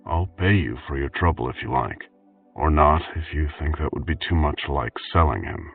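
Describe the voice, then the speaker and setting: Cold, determined audiobook hero, voiced by a male reader: very low, slow, commanding, with narrow phone-like sound. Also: gravelly, slightly rough, distant mic, faint music behind, exaggerated voice. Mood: coldly persuasive. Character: stern, coldly determined man interrogating, fantasy hero.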